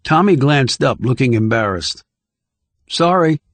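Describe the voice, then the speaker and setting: Guy narrating a thriller audiobook, mid pitched voice, dry studio-like sound. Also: animated, measured pace, dry recording.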